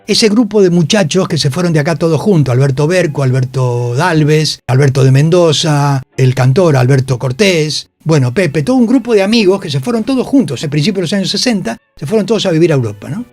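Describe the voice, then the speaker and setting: A man's voice: a little high, lively intonation, brisk, darkish tone, no echo. An older Argentine man speaking as an interview guest on radio, telling anecdotes colloquially and repeating phrases for emphasis. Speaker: interview guest, actor and producer. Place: radio studio.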